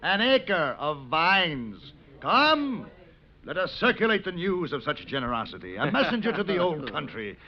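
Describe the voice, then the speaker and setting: A man's voice: on the high side, big pitch swings, normal speed. A man playing a suave, wry, cultured gunman for hire, eloquent and theatrical, in a vintage radio western. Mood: mock outrage. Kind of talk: 1950s radio western acting. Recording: muffled vintage radio recording.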